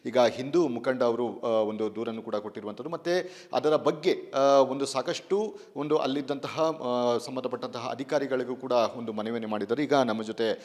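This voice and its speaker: A man's voice: mid-range voice, normal speed, a little mellow, long reverb tail. A man reporting and interviewing for television news, with hesitant, wordy phrasing full of filler sounds.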